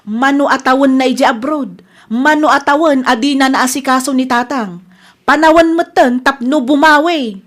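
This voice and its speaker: A grown Ilocano daughter in a comedy radio drama voiced by a woman. Her voice is distinctly high. She is curt and dismissive, with a resentful, self-justifying edge. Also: moderate pace, fairly bright tone.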